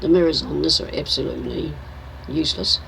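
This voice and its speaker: A woman's voice: low-pitched, fairly quick, fairly bright tone, slight background noise, rough recording. An older woman, chatting casually and teasingly as she streams a farming game. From New Zealand. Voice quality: croaky. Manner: chatty, teasing, narrates her own driving. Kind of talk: casual live-stream chat while playing.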